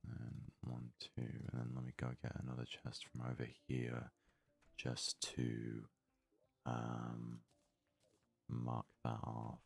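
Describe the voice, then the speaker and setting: Very deep, gravelly ASMR man. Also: monotone, no echo, faint music behind. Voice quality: breathy, often slipping into whisper. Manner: murmured, unhurried thinking aloud. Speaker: let's play host. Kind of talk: soft-spoken ASMR game commentary.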